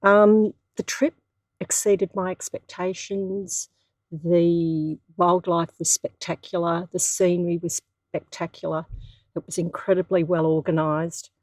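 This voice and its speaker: A woman's voice: lowish voice, fairly even intonation, unhurried, dry recording, poor audio. Upbeat woman. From Melbourne, Australia. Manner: upbeat, enthusiastic.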